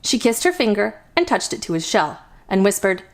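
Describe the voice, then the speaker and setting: A woman hosting a children's storytime, reading a picture book aloud in an expressive, deliberate storytelling manner. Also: medium pitch. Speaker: children's storytime host and reader.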